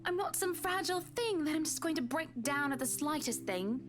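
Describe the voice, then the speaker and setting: A woman's voice: high-pitched, lively intonation, brisk, background noise. An actress playing a candid married woman in a visual novel, confiding and insistent in a conversational way. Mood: insistent, firm. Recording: loud music behind the voice. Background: game music.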